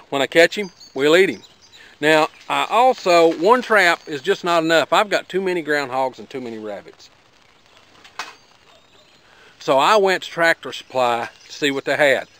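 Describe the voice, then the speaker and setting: A man's voice: fairly high pitch, a bit bright. A middle-aged man with an Appalachian accent, talking in a folksy, plainspoken, rambling way as he demonstrates something outdoors by his garden. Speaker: homesteader vlogger.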